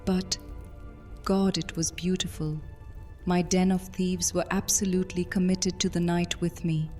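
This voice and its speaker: Woman playing a solemn, low-voiced ancient vampire mistress. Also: level tone, unhurried, darkish tone, lightly acted. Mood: brooding. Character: ancient regal vampire mistress and former master thief, brooding. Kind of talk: audio drama acting. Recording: music under the voice.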